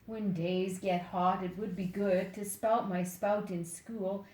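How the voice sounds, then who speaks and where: An older woman reading a children's book aloud expressively and deliberately, playfully speaking straight to young listeners. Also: medium pitch, steady tone, a little mellow, reverberant, rough recording. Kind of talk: expressive picture-book read-aloud with asides.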